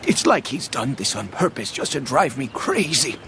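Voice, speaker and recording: A man's voice: mid-range voice, sing-song, creaky, noisy background. A man voicing a weary old village man who sighs and complains about his neighbor. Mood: aggrieved. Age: older. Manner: sighing, slow and put-upon. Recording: game cutscene with loud background music.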